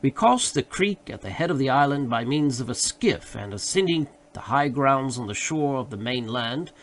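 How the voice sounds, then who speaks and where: A man narrating a literary audiobook in a formal, expressive manner. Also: mid-range voice.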